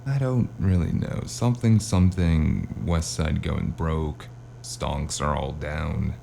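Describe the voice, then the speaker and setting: A man playing a wry, weary hard-boiled investigator who narrates and talks in a cyberpunk noir audio drama, with a deep gravelly voice. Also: slow pace, warm tone, a little hiss or hum, exaggerated voice. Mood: musing, wry. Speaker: narrator and lead character.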